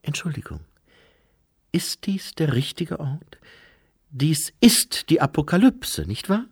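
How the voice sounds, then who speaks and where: A man's voice: a little high, big pitch swings, slow, creaky, breathy edge, voice pushed up, full character voice. A man voicing a plaintive, flustered and pedantic angel in an audiobook, whiny and hesitant. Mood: timid, questioning.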